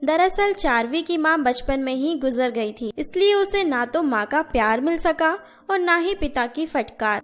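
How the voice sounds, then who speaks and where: A woman narrating a scripted moral story for children. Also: sits high.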